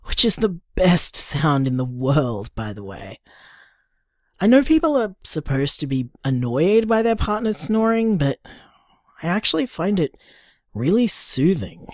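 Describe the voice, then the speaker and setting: Young man, tender and upbeat, soft musing delivery, lively intonation, dry close sound, audio drama. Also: on the high side, no echo. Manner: soft, musing, unhurried, affectionate.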